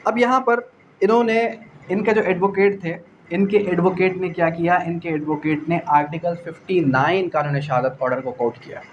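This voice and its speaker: A man's voice: sits high, normal speed. A man with a Pakistani accent giving an explanatory law lecture in a didactic way, repeating phrases, asking rhetorical questions and mixing in English legal terms. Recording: dull lo-fi audio, faint background noise.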